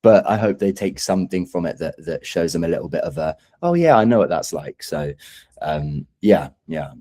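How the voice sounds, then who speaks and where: A man's voice: low voice, animated, moderate pace, dark tone. A man who is a singer-songwriter guest in a casual interview, speaking in a hesitant, thoughtful, self-deprecating way.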